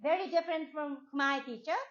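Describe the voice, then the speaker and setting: A woman's voice: sits high, fairly quick. A Japanese woman teaching a class in English with a strong Japanese accent, asking questions and often repeating herself. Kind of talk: classroom talk with students. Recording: echoey room, dull lo-fi sound.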